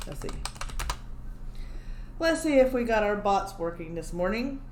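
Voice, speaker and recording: A woman's voice: mid-range voice, very animated, unhurried, a little mellow. A woman hosting a livestream on her own, chatting casually and joking about her own technical glitches. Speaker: livestream host. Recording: echoey room with faint background hum.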